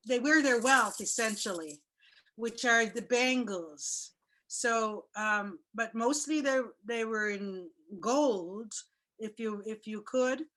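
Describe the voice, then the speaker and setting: A woman telling a personal story in casual chat over a video call, with a loud, chatty way of talking. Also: a little high. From Jamaica. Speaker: guest speaker.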